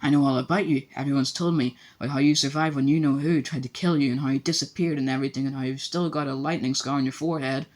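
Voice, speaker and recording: A man's voice: medium pitch, steady tone, quick pace, hardly any pauses, natural voice. A man reading an audiobook, voicing a small, eager schoolboy who talks breathlessly and in a rush. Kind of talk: audiobook character voice.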